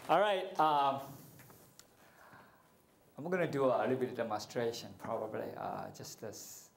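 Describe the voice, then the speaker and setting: A man's voice: mid-range voice, expressive, measured pace, vocal fry, some chatter behind, a little hiss or hum. A middle-aged man teaching meditation to an audience, joking and asking them questions, speaking into a handheld mic in a large hall. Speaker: martial arts and meditation teacher. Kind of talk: playful spiritual talk to an audience. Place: large hall with curtained stage. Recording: echoey hall.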